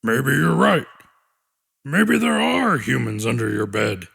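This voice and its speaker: A man voicing a huge old monster father, a weary veteran speaking in a calm, soothing, hesitant way in an audiobook. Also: mid-range voice, slow, gravelly, slightly rough, heavily acted. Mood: weary, uneasy.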